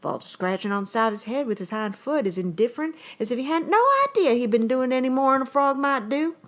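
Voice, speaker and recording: A woman's voice: fairly high pitch, lightly acted. A woman performing a comic short story as a rambling, folksy old-time yarn-spinner, with an earnest, conversational manner. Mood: excited, admiring. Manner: folksy, drawn-out, conversational storytelling in rustic dialect. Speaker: actor reading aloud.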